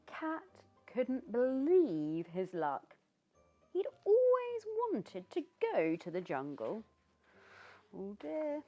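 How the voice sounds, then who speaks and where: A British woman reading a children's story aloud in an expressive, theatrical way, with asides to the young listener. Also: on the high side, extremely slow. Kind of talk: animated read-aloud narration for young children. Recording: dull lo-fi sound with background music. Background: music.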